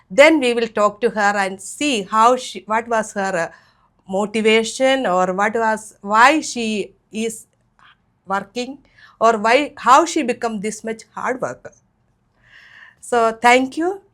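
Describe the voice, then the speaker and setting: A woman's voice: a little high, a bit bright. A middle-aged woman hosting an interview, talking in a rambling way with many fillers. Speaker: host.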